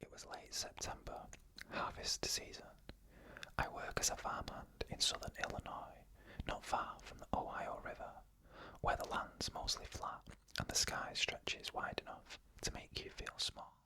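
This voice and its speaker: A storyteller who whispers every word, unvoiced and close to the microphone. The breathy whisper has a bright, crisp edge, and each short phrase is set apart by calm, measured pauses, like bedtime reading of eerie tales. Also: low-quality recording. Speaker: ASMR storyteller. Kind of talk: whispered ASMR narration of scary stories.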